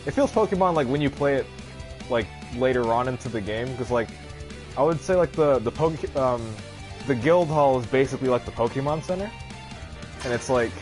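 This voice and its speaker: An enthusiastic male game streamer with a lively, swinging delivery and a medium-pitched voice. He rambles in casual slang like a devoted fan, while clear guitar-laced game music plays behind him. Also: normal speed. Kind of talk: casual live stream commentary.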